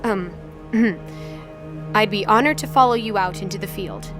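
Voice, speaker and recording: A woman acting as a tall, lovelorn goddess of death in a mythology audio drama. She speaks slowly in a mid-range voice, wistful and self-conscious, deferential to those above her. Music plays clearly behind her. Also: medium pitch, natural voice. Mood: flustered, eager. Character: tall lovelorn young female death goddess, loyal sniper.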